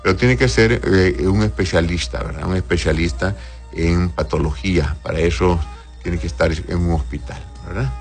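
A man's voice: sits low, steady tone, moderate pace, a little mellow, soft background music. A man speaking as a doctor hosting an advice show, conversational and avuncular, answering listeners with endearments and frequent checking tags. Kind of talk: conversational medical advice, reading messages aloud.

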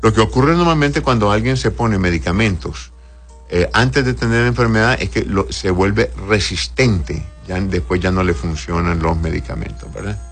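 Low deep guy doctor on an advice show, chatty and fatherly, music playing behind him. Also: normal speed, creaky, breathy edge. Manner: avuncular, uses endearments and frequent checking tags. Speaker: doctor hosting a call-in advice show, answering listener messages. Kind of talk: conversational medical advice, reading messages aloud.

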